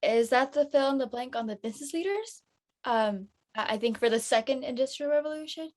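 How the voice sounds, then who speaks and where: A woman's voice: high-pitched, lively intonation, fairly quick, a little mellow. A woman playing a responsible, peacemaking teenage schoolgirl in a drama read. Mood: matter-of-fact. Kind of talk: audio drama table read acting.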